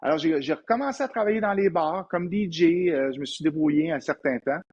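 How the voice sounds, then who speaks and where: A man in his fifties with a Québécois accent, an entrepreneur guest telling lively anecdotes in a casual interview. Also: on the high side, a little fast, breathy edge, lo-fi sound. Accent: Québécois French. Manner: animated anecdotal storyteller, frequent restarts.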